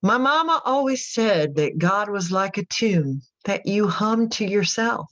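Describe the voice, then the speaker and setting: An actress playing a troubled, reflective country mother in a radio drama monologue, confiding thoughtfully with pauses. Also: medium pitch, lively intonation, a bit bright, highs cut off. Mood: tender, wistful.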